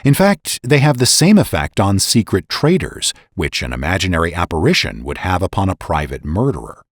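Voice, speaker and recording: A man's voice: low-pitched, very animated, brisk, no echo, studio quality. A man reading scripted historical narration in a solemn, oratorical way with emphatic phrasing. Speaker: podcast narrator and reader.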